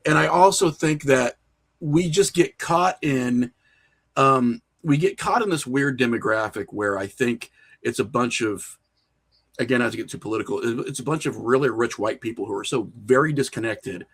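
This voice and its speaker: A man's voice: medium pitch, lively intonation, moderate pace, fairly bright tone, husky. A middle-aged man talking casually as an interview guest, opinionated and rambling as he works through his thoughts. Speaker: podcast guest, horror filmmaker and writer.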